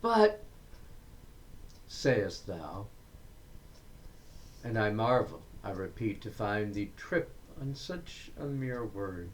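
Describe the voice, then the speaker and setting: Very slow, low-voiced man reciting verse. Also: very animated, a little hiss or hum, poor audio. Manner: deliberate, declamatory, with pauses between short phrases. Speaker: reader of poetry. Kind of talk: dramatic recitation of Victorian verse.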